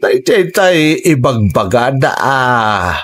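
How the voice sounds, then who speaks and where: An actor playing a bumbling, henpecked older village husband in an Ilocano radio drama, drawling comically and defensively with an Ilocano accent. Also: male voice, mid-range voice, big pitch swings, slow pace, a bit bright, exaggerated voice. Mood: chatty explaining.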